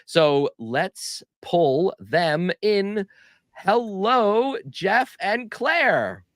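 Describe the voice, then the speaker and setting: A man's voice: sits high, very animated, very slow, fairly bright tone, dry recording, clean studio sound. A man hosting a live online show, chatting casually and reading viewer comments aloud with an upbeat manner. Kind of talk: casual livestream chat.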